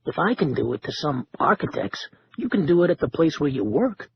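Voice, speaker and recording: A man's voice: fairly high pitch, very animated, fairly quick, creaky, husky, dry recording, dull audio, exaggerated voice. A man doing an eager, quirky friend.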